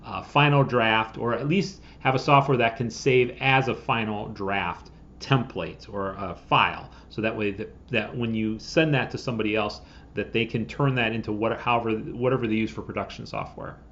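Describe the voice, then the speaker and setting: A man's voice: on the low side, fairly even intonation, moderate pace, fairly bright tone, slight background noise. A man in his forties giving a casual, explanatory review to camera as a video host, recorded in a room at home. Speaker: host of a screenwriting software review channel. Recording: slightly dull webcam audio.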